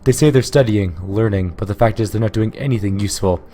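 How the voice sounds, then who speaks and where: A man's voice: lowish voice, quick pace, mellow, a little hiss or hum, close to an everyday voice. A man reading as a blunt, contemptuous slaver woman. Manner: curt, contemptuous. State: hoarse from illness. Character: hard-nosed female slaver, blunt and dismissive.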